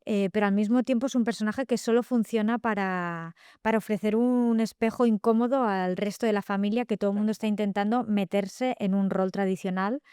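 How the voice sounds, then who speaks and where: A middle-aged woman with a Catalan accent, a novelist talking reflectively in an interview, often pausing with fillers and tag questions. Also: medium pitch, steady tone, darkish tone, smooth, no room sound, clean studio sound. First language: Spanish. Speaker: guest novelist. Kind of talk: thoughtful interview talk.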